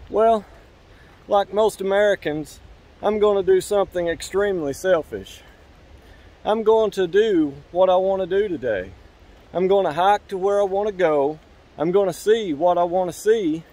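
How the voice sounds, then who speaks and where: A man in his forties delivering an earnest scripted monologue as a church vlog host, in measured, preacherly phrases. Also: high voice, warm tone. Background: instrumental music.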